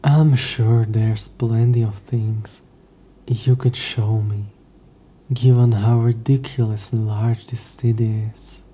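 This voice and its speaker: A man's voice: lowish voice, steady tone, slow, dark tone, breathy edge. A man playing an ancient, possessive demon in an intimate audio roleplay, speaking to the listener in a formal, wry, murmuring way. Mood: reassuring. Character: ancient possessive male demon, sardonic, curious, teasing.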